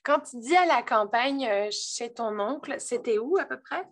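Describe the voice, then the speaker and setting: A woman's voice: fairly high pitch, lively intonation, normal speed. A woman hosting a virtual interview, reading a prepared introduction with many hesitations. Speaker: interview host.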